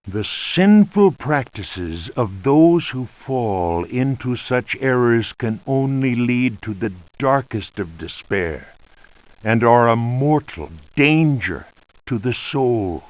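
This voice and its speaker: A stern churchman reads from an old book for a video game. He is solemn and ominous, very slow, with dramatic rises and falls in tone. The sound is dry. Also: medium pitch, expressive, a little mellow, no room sound. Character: stern male churchman warning of devilish cults.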